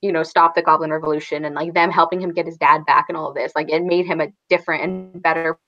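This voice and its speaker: A woman's voice: deep voice, steady tone, fast, muffled recording. A woman chatting casually in a book discussion, thinking aloud in a hesitant way.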